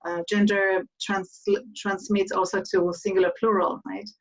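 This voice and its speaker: A woman's voice: mid-range voice, flat delivery, normal speed, distant mic, low-quality recording. A woman whose first language is Hebrew, interviewing a guest in a loose, rambling way with many restarts and "right?" tags. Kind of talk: casual panel interview.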